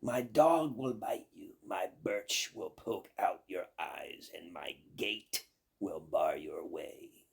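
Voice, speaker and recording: A man voicing a bossy, threatening old witch from a folk tale, with a raspy, creaky voice and slow theatrical commands. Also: low-pitched, pitched below the performer's own voice, exaggerated voice. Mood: menacing.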